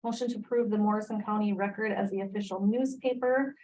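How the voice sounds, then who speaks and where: A woman's voice: mid-range voice, fairly even intonation, fast talker, a little mellow, a little hiss or hum, low-quality recording. Board chair, woman, formal, reads motions and calls votes. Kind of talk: running a school board meeting.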